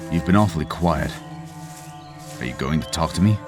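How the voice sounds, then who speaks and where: A man's voice: a bass voice, animated, moderate pace, music in the background. A man playing a stern, bitter middle-aged father in an argumentative, commanding way for an audio drama. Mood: grave, guarded. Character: stern, bitter father, anti-military colonial speaker.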